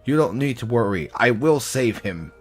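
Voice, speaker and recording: A man's voice: mid-range voice, lively intonation, moderate pace, slightly rough, soft background music, voice pushed up. A man voicing an earnest, determined young male hero in a game, reading lines with a raised voice.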